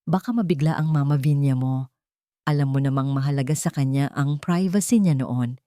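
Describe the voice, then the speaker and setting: A woman's voice: a bass voice, normal speed, warm tone, dry recording, studio quality. A woman narrator voicing a caring, worried older mother in a story. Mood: gentle worry. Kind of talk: storybook dialogue within narration.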